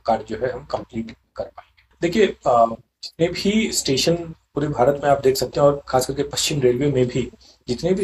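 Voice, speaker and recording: A man's voice: medium pitch, a little mellow. Man official explaining over noisy ambience. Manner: halting, explanatory, mixes English terms into Hindi. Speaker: railway official. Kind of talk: interview explanation of a development project. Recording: clearly noisy location recording with ambience.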